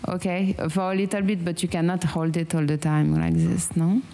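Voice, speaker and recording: A woman with a French accent, hosting a relaxed meditation chat and often pausing to search for words. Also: lowish voice, gravelly. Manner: halting, searching for English words, frequent fillers. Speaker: meditation channel host. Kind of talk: casual guided meditation chat.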